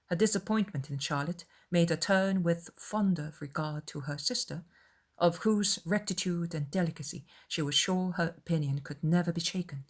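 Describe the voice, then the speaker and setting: Woman reading an old novel as audiobook, lowish voice, measured but puts drama on key words. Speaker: audiobook narrator. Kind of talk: dramatized literary narration.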